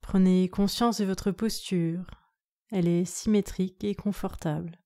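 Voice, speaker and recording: A woman yoga nidra instructor leading a guided relaxation meditation in a calm, soothing, measured way. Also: fairly low pitch.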